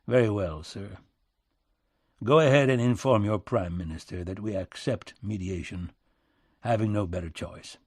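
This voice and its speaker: A man's voice: fairly low pitch, normal speed, no echo, studio quality, natural voice. Man acting a folksy, bitter backwoods American president. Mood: weary, resigned. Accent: American back-country. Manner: folksy, sardonic, emphatic.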